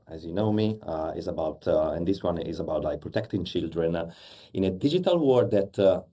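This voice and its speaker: A male host with a deep, mellow voice opens a casual live conversation. He talks in halting bursts, stacking fillers as he searches for words in a language that is not his first.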